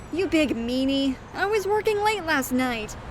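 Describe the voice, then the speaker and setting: Actress as a spunky, high-voiced young goat girl. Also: animated, a little slow, background noise. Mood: playful. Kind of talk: audio drama acting.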